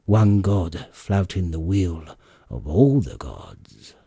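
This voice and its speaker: Exasperated ruler of the gods, read by a male narrator: husky, ponderous, lordly, slow-spoken, epic storybook style. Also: deep voice, very animated, warm tone, no room sound, clean studio sound, exaggerated voice. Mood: musing, conciliatory.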